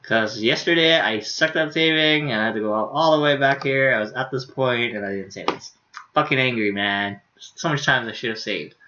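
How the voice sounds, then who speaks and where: A man's voice: mid-range voice, moderate pace, sharp tone, highs cut off, slight background noise. A young man streaming, casually reading a visual novel aloud with offhand asides.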